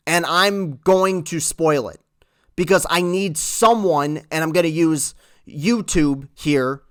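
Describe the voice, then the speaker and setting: A man reviewing a movie in casual, earnest talk, halting and repeating words as he goes. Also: fairly high pitch, a bit bright, no echo, studio quality. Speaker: film reviewer.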